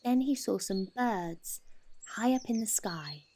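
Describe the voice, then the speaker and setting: A woman storyteller reading a children's picture book expressively, with deliberate phrasing, drawn-out emphasis and dramatic pauses. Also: mid-range voice, lively intonation, warm tone, slight background noise. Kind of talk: expressive bedtime story narration.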